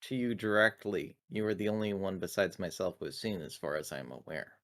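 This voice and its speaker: A man roleplaying as an android in a tabletop game, speaking in formal, precise, deadpan wording. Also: low-pitched, fairly quick.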